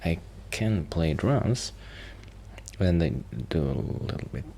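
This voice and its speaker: A man playing a shy, friendly young musician in a soft ASMR roleplay, rambling casually and hesitantly in a breathy, often whispered voice. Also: deep voice, expressive, slow, a little hiss or hum, exaggerated voice.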